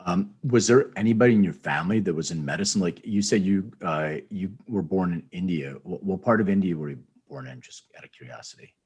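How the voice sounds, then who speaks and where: A man's voice: low-pitched, brisk, hardly any pauses, a little mellow, breathy edge. A man hosting an interview podcast, talking in a friendly, rambling way with repeated words. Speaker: podcast host. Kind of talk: interview conversation.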